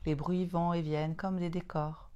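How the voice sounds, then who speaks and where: A woman leading a guided yoga nidra relaxation in a soothing, unhurried manner, in short phrases separated by long pauses. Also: on the low side, flat delivery, warm tone, muffled recording.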